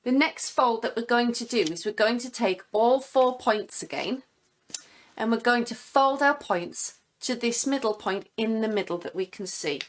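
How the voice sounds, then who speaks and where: Female guest craft presenter, higher voice, instructional step-by-step delivery, recorded at home. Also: expressive, moderate pace. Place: living room at home.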